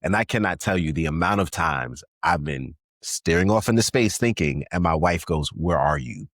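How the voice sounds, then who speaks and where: A man from New York with a Brooklyn accent, hosting a podcast interview in a relaxed, reflective way. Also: sits low, moderate pace, no room sound, studio quality. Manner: relaxed, reflective, repeats guest's points back. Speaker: podcast host. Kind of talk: conversational interview.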